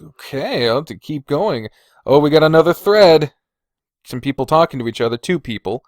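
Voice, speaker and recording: A man's voice: fairly high pitch, lively intonation, normal speed, dry recording, clean studio sound. A man hosting a podcast, chatting informally and swearing freely as he reads and reacts to comments. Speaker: podcast host. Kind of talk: casual chat, reading and reacting to viewer comments.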